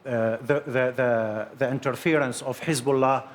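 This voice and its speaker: A man speaking as a guest in a live studio debate, measured and full of hesitant pauses. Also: medium pitch, fairly even intonation. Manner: measured, many hesitant fillers. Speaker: panel guest, Syrian opposition member.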